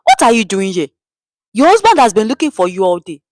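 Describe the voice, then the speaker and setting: A woman's voice: medium pitch, very animated, fairly quick, a little mellow, no room sound, clean studio sound, lightly acted. A woman voicing an earnest, puzzled male bystander in a story, emphatic, with a Nigerian accent. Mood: surprised. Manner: exclaiming, emphatic. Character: earnest male passer-by, a puzzled family friend.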